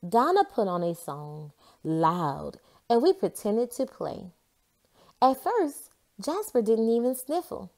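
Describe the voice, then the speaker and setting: A woman giving an expressive read-aloud narration of a children's storybook. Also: a little high, slow. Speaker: storybook reader.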